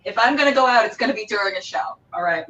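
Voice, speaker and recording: A woman with a fairly high voice hosts a casual show. She is effusive and chatty, talking to guests and audience alike. The microphone sits slightly away from her. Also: lively intonation, fast, gravelly, pushed and bright, recorded from a distance, lo-fi sound. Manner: gushing, effusive. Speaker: show host. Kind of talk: casual interview hosting with shout-outs.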